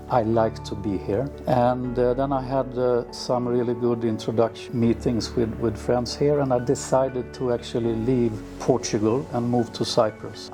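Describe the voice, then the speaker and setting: Man, middle-aged, grew up in Sweden, unhurried, frequent fillers, mid pitch, music bed. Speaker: villa owner. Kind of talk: relaxed interview answers. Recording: music playing underneath.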